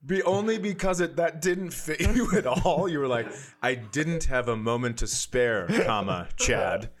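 A man's voice: medium pitch, expressive, a little slow, crowd noise. A man co-hosting a podcast with casual comedic banter, riffing in a teasing, profane way. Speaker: podcast co-host.

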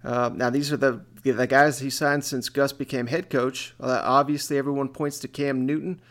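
A man's voice: medium pitch, fairly even intonation, normal speed, fairly bright tone. A man hosting a sports podcast, talking casually with a co-host.

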